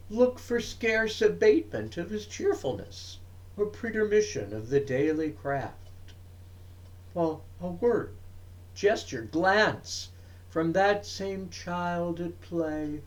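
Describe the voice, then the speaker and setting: A man recites poetry dramatically in a somewhat high voice. His pace is extremely slow and deliberate. He breaks the lines into short, emphatic phrases with frequent pauses. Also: darkish tone. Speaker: reader of poetry.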